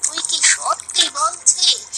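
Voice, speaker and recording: A woman playing a kindly, inquisitive old village granny in a cartoon story, speaking with deliberate concern. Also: very high-pitched, steady tone, pushed and bright, slight background noise, exaggerated voice, poor audio. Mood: curious. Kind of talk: Bengali cartoon story dialogue.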